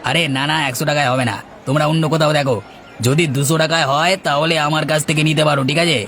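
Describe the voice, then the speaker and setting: High-voiced firm village fish seller played by a man. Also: flat delivery, rapid-fire, fairly bright tone, a faint crowd. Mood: insistent, dismissive. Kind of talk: Bengali moral-story cartoon dubbing.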